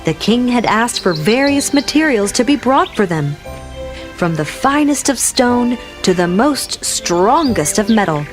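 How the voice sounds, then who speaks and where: Slow, lively woman narrating over music. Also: a little high. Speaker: storyteller. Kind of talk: scripted fairy-tale narration for young listeners. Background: clear music.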